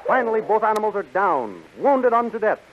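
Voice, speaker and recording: Muffled old radio, guy announcer, highish voice, dramatic serial narration. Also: lively intonation, a little fast, vocal fry. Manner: dramatic, declamatory, emphatic. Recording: muffled, hissy 1930s radio recording.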